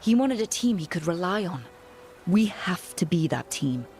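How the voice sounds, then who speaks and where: An actress voicing a steady, resolute heroine who leads a team in a fantasy game, firm and rallying. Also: female voice, fairly low pitch, normal speed, soft background music. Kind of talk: fantasy video game voice acting.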